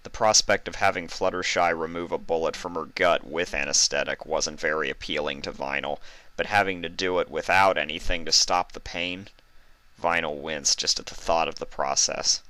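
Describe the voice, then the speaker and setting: A man with a low voice narrates a story from a script. His tone is bright and forceful, and the sound is close and dry. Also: fairly even intonation, normal speed, no echo, low-quality recording. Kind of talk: scripted fan fiction narration.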